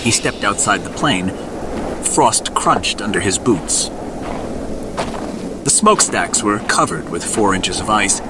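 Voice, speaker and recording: A man's voice: lowish voice, expressive, slow, long pauses, very noisy. A man narrating a scripted superhero story in a suspenseful, dramatic storybook style.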